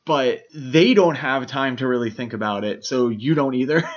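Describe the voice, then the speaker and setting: A man's voice: medium pitch, normal speed, highs cut off. A man co-hosting a podcast in a casual film discussion, explaining his opinions as he goes. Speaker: podcast co-host.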